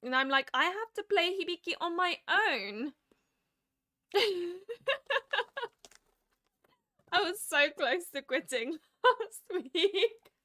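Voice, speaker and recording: Bright high-voiced woman streamer. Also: slow, long pauses. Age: 20s. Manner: chatty, laughs often, animated. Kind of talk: casual chat.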